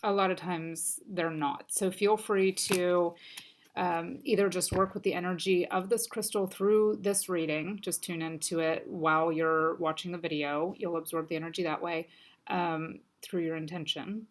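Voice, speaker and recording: A woman with a somewhat low voice talks through a weekly crystal card reading in a gentle, unhurried way. Her voice sounds a bit stuffy and scratchy from allergies. She fills her pauses with soft ums. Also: steady tone. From United States. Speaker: crystal and oracle card reader. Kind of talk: casual spiritual card reading.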